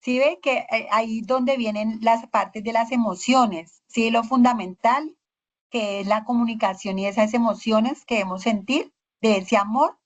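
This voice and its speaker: Woman teacher, higher voice, dull lo-fi call audio, prompts students by name. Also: a little slow. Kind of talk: online class discussion. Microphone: video call.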